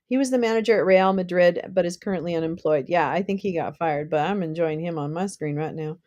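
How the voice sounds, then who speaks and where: Young woman streamer rambling to chat, kinda hoarse, swears a lot, lowish voice. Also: a little fast, a little mellow, lo-fi sound. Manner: chatty, swears, laughs often, rambling asides. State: losing her voice. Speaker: livestream host. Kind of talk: casual livestream chat reading viewer comments.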